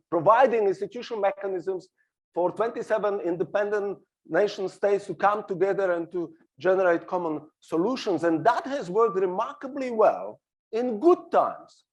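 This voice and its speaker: Slovak-accented middle-aged man, high voice, argumentative panelist, many fillers. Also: moderate pace. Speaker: panel speaker. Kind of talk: panel discussion. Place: small hall on a stage.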